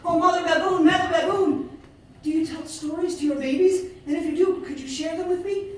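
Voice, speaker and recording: A woman's voice: very high-pitched, steady tone, fairly quick, darkish tone, a little hiss or hum, pitched above the performer's own voice. A woman voicing a polite, earnest young female elephant who pleads eagerly. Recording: echoey room, mic far off.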